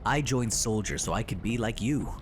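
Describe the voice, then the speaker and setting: A man plays an eager, cocky young soldier in a video game. His voice is fairly low, with faint ambient noise behind it. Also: animated, moderate pace, natural voice. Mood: eager, keen. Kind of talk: video game character dialogue.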